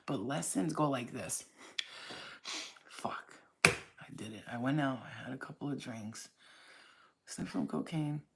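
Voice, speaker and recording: A woman's voice: a bass voice, a little slow, vocal fry, pauses often, heavy background noise. A woman from Staten Island, hosting her own channel and talking straight to viewers in a blunt, profane, preachy way. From Staten Island, New York. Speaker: spiritual reader and channel host. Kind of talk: casual talk to camera.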